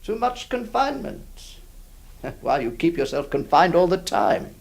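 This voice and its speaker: An actor playing a troubled, kindly lawyer who narrates and stammers with many hesitations. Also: male voice, a little high, big pitch swings, a little slow, creaky, exaggerated voice. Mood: coaxing. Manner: hesitant, stammering, full of uh's. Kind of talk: old radio drama acting.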